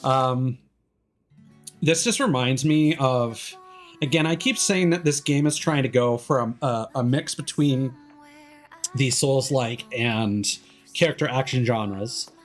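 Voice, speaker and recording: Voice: mid-range voice, slow, faint music behind. A video game streamer giving casual, analytical commentary, rambling and often trailing off mid-thought. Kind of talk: casual livestream commentary while gaming.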